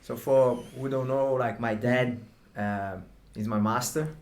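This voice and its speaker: A man's voice: medium pitch, steady tone, unhurried, slight background noise. A man from Brazil with a Brazilian accent, a jiu-jitsu coach chatting casually on a podcast, dropping "man" and "you know" often. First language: Portuguese.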